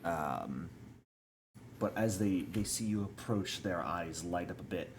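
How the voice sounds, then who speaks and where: A man's voice: low voice, measured pace, a little hiss or hum. A man narrating as game master in an easygoing way, with fillers.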